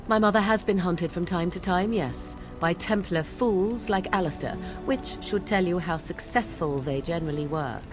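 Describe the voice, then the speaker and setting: A woman's voice: on the low side, unhurried, lightly acted. An actress playing a sly young witch who teases in a wry, archly formal way, with game music behind her. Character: sly young female witch, mocking and aloof.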